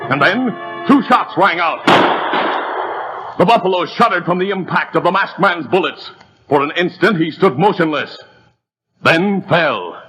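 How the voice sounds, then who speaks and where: Dramatic narrator over music. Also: male voice, high voice, expressive, unhurried, long pauses, bright, forceful tone, breathy edge, poor audio. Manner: vivid, building storytelling delivery. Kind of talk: dramatic 1930s radio narration.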